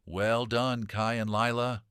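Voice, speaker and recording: A man voicing a wise, kindly winged guide who speaks softly and approvingly in a children's story. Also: medium pitch, level tone, slow, clean tone, no echo, studio quality. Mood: softly joyful. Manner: soft, approving.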